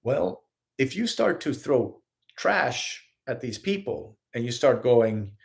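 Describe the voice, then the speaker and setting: A man's voice: medium pitch, slow, creaky. A man from Sweden with a Swedish accent, giving an earnest live-streamed spiritual talk as a healer and host. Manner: earnest, animated, acts out little scenes in quotes.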